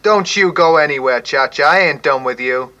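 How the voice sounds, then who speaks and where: A cocky, hardboiled private eye in a spoof of 1950s radio noir. His voice is slightly high and forceful. He is smug and slangy, slipping between narration and wisecracking dialogue. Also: normal speed, dull audio. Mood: smug, accusing. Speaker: lead detective and narrator.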